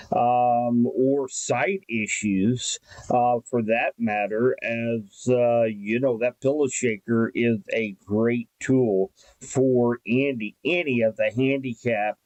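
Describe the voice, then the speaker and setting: Middle-aged American male presenter, folksy informal explainer, medium pitch, dry recording. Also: a little mellow, dry recording, rough recording. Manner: folksy, conversational. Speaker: local radio presenter. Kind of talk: informal explainer and product recommendation.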